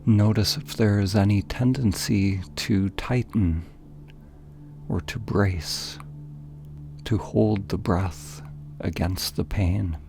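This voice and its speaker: A man narrating a guided meditation in a calm, gentle, deliberate way, leaving pauses between phrases. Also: fairly low pitch, vocal fry, faint music behind.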